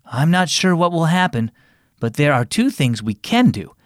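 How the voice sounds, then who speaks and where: A man voicing a gentle, faithful father who speaks slowly and softly in a children's storybook. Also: on the high side, sing-song, no echo, studio quality. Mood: solemn.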